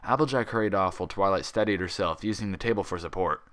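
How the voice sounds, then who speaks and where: A man narrating a fan fiction story as an expressive reader. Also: sits low, fast talker.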